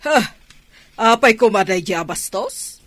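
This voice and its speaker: A woman's voice: medium pitch, expressive, measured pace, no echo, close to an everyday voice. A woman acting a proud, scolding mother of a grown son in an Ilocano radio drama. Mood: indignant.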